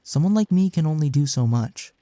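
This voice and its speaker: A man playing a caring, frank young best friend in an intimate comfort audio roleplay, speaking in a soft, earnest, reassuring way. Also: on the high side, animated, brisk, dry recording, close to an everyday voice. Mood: frank, concerned.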